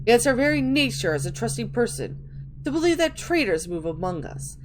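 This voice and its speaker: A woman's voice: fairly high pitch, very animated, fairly quick, background noise. A woman giving a theatrical dramatic reading of video game texts, stumbling over words and restarting now and then.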